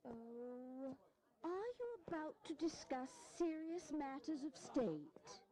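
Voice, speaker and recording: Flirtatious, high-voiced, haughty society lady. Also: takes it slowly, warm tone, poor audio. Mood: bored disdain. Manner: playful, airy, disdainful. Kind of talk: historical audio drama acting.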